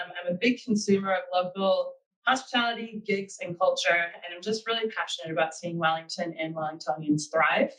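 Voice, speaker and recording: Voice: mid-range voice, fairly bright tone, recorded from a distance, low-quality recording. A speaker with an American accent giving a webinar talk, earnest and thinking aloud with frequent ums. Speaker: guest speaker.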